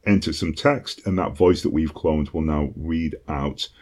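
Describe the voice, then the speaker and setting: A British man with a very low, deep voice walks through a lesson in a relaxed, conversational way. He fills his pauses with ums and checks in with an "okay?" now and then. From Britain. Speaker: online course instructor. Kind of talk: casual tutorial explanation.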